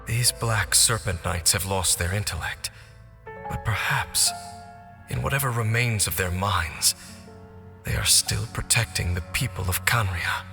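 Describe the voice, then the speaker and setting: A solemn, deliberate knight from a fallen kingdom in a game cutscene. His voice sits a bit low and every line is measured and formal. The sound carries a long echo over steady music. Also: gravelly, exaggerated voice. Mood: mournful. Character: grave ancient knight, measured and mournful. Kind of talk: video game cutscene voice acting.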